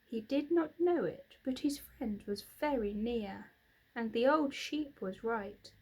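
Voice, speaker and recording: Lady reading a kids book out loud, high voice, slow pace, expressive storytelling. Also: slightly rough, rough recording. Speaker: reader, teacher. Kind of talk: expressive children's storybook narration.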